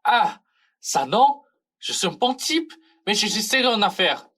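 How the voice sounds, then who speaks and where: A young woman playing a gruff but soft-hearted male thief, condescending and easily flustered, in a comic radio play. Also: medium pitch, very animated, unhurried, dry recording, studio quality. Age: young adult. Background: music.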